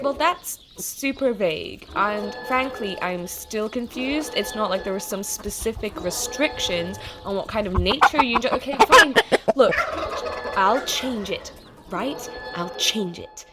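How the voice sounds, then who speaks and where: A woman's voice: mid-range voice, expressive, a little slow, over music, lightly acted. A woman playing a chatty, sarcastic, easily flustered AI program in an audio drama, talking casually and stammering when rattled. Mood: defensive, exasperated. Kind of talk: audio drama monologue in casual second-person address.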